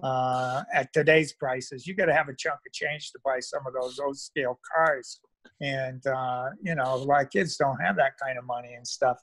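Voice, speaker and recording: A man's voice: mid-range voice, fairly even intonation, moderate pace, fairly bright tone, dry recording. An older retired man chatting casually about his hobby, rambling and often trailing off into filler phrases. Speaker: meetup guest, model railroad hobbyist. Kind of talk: casual chat. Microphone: phone at arm's length on video call.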